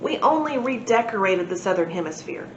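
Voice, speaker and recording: A woman's voice: medium pitch, lively intonation, brisk, echoey room, highs cut off, slight background noise, lightly acted. A woman voicing a small, globby green alien who is smug and then hesitant, in a storybook. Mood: hopeful.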